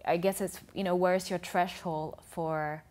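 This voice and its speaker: A woman's voice: lowish voice, fairly even intonation, a little slow, darkish tone. A woman guest on a TV talk show, explaining her views in a thoughtful way with frequent restarts. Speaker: food and travel blogger, guest. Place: TV studio.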